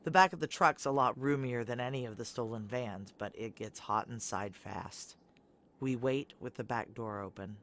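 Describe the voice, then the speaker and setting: A woman's voice: very deep voice, moderate pace, slight background noise. A woman playing a guarded, brooding woman on the run who narrates a noir audio drama in a terse, wry, confiding way. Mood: anxious.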